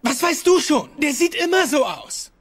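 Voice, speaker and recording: High-pitched cocky teenage Viking. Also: male voice, sing-song, moderate pace, a bit bright, faint music behind, heavily acted. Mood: cocky taunting. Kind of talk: cartoon audio drama dialogue.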